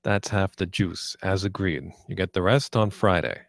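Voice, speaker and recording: Man playing a low-voiced, cocky drug dealer. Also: moderate pace, vocal fry, dry recording, studio quality. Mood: smug. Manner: sarcastic, foul-mouthed, taunting. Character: cocky, menacing 29-year-old male drug dealer. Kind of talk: amateur screenplay table read.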